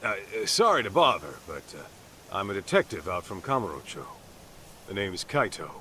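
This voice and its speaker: An actor playing an easygoing, plain-spoken middle-aged private detective in an English video game dub. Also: male voice, low voice, sing-song, brisk, pushed and bright, slight background noise, full character voice. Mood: polite, casual.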